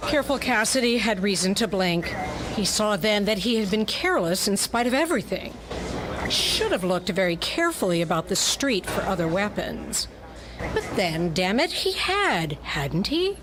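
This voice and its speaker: A woman's voice: medium pitch, sing-song, normal speed, noisy background. A woman delivering dramatic scripted narration for a pulp detective story, as in radio theatre.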